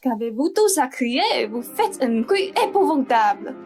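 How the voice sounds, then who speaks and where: A woman's voice: sits high, big pitch swings, unhurried, heavily acted. A young woman playing an imperious, dramatic rich lady of the house in a French radio play, haughty and exclamatory. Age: young adult. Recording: music behind the voice.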